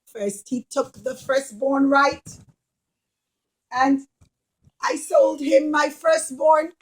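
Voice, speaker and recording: A high, whiny puppet of a hot-headed elder son, played by a woman. He complains loudly and wails his grievances with vengeful temper, as in a children's puppet show. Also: slow. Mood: wailing, aggrieved.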